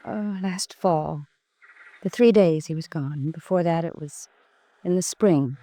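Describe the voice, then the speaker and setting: A woman's voice: deep voice, measured pace, dark tone. An actress playing a coaxing, evasive American society wife in her early thirties in a 1950s radio drama.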